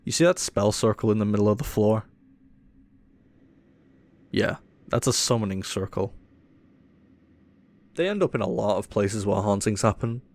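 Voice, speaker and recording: Guy acting as a cocky ghost hunter, lowish voice, teasing and offhand, audio rp style. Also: moderate pace, pauses often, a little mellow, natural voice. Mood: sly, cocky. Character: cocky, irreverent male ghost hunter showing a rookie around. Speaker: paranormal investigator character.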